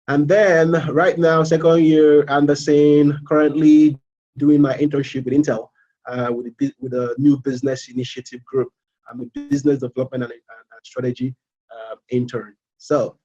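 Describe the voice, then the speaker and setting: Halting Nigerian man, muffled audio. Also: medium pitch, warm tone. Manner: halting, many fillers and repeated words. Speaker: MBA student. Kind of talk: informal live presentation.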